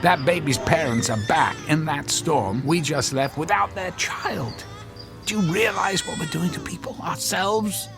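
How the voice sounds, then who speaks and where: An actor in a radio drama playing an anxious, conscience-stricken husband, hesitant, earnest and pleading. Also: male voice, on the high side, measured pace, vocal fry, music in the background. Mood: anguished.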